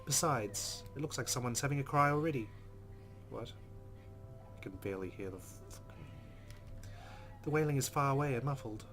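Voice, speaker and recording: A man playing a game, reading its text aloud dramatically and reacting in a jumpy, expressive way. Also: medium pitch, normal speed, long pauses, soft background music. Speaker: let's player. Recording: loud game sound behind voice. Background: game audio.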